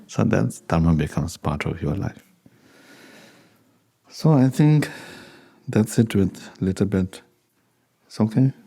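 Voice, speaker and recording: Low, mellow-voiced man teaching with an accent. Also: sing-song, gravelly, breathy edge. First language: Tibetan. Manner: unhurried, conversational, chuckles while telling anecdotes. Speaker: Buddhist teacher. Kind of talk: dharma talk with question and answer.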